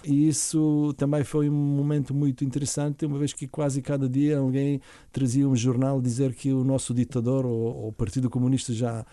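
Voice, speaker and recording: A middle-aged man from Bulgaria speaking with a Bulgarian accent, recounting his story in an interview in a halting way, with restarts. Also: medium pitch, little pitch movement, warm tone, no room sound, studio quality. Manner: halting, with frequent restarts and fillers. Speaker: interview guest, violinist and orchestra founder.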